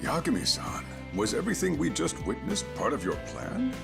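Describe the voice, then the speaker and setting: A man's voice: a little high, wildly swooping pitch, moderate pace, vocal fry, music in the background, full character voice. An actor playing a courteous, intellectual middle-aged man in a game dub, speaking formally and theatrically. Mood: intrigued.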